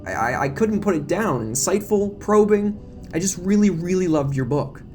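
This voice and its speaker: An eager, gushing middle-aged woman reporter for an audio drama, acted out by a man. The voice is lifted high and swings about, flustered and stammering with flattery, over background music. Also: normal speed. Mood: gushing, insistent. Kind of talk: audio drama character acting.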